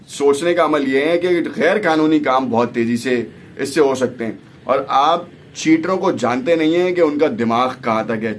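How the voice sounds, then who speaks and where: A man with a Pakistani accent hosting a political vlog, giving conversational news commentary sprinkled with English words. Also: mid-range voice.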